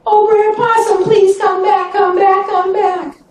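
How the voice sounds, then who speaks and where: A woman's voice: very high voice, little pitch movement, a little slow, a little mellow, heavy reverb, recorded from a distance, pitched above the performer's own voice. A woman voicing a pitiful, pleading male snake stuck in a hole, in a told folktale. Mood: begging. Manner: wheedling, begging. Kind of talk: live storytelling.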